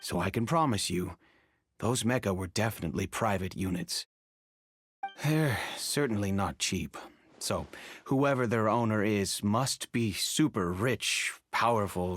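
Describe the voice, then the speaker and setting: A man's voice: on the low side, slightly rough, full character voice. An actor playing a composed, affable male guard officer in a video game, assured and matter-of-fact.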